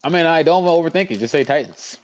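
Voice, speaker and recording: A man's voice: a little high, quick pace, slight background noise. A man with a light Cleveland accent co-hosting a sports podcast in casual banter. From Cleveland, USA. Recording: dull, lo-fi microphone sound.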